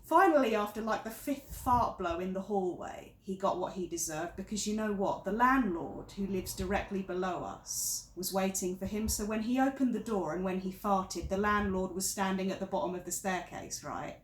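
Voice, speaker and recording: A woman's voice: mid-range voice, moderate pace, few pauses, reverberant, natural voice, rough recording. A woman performing a stage monologue as an exasperated wife in her thirties, confiding in a friend with dramatic, emphatic repetition. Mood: indignant, gloating. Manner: dramatic, emphatic, repeats phrases for effect.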